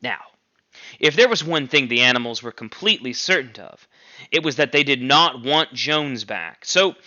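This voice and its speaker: Bright-voiced man, expressive teacher reading aloud. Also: on the high side, dry recording, muffled recording. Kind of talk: reading a novel aloud for a class.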